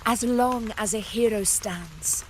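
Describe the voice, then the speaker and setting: A woman playing a proud, unflappable warrior ruler in a video game, with measured, grand phrasing and a teasing streak. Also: mid-range voice, a little mellow, slight background noise, natural voice, poor audio. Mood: proud, resolute.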